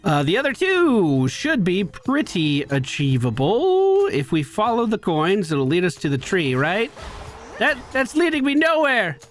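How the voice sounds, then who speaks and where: Higher-pitched male gamer, very animated intonation, casual play-by-play, exasperated self-talk, swearing, music in the background. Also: unhurried. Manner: exasperated exclamations, swearing, talking to himself. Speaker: gaming video creator. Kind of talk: casual game playthrough commentary.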